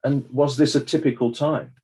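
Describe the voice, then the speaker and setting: A man acting as a formal counsel who puts measured, probing questions to a witness in a dramatized inquest. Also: medium pitch, steady tone, dark tone, close to an everyday voice. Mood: formal, inquiring.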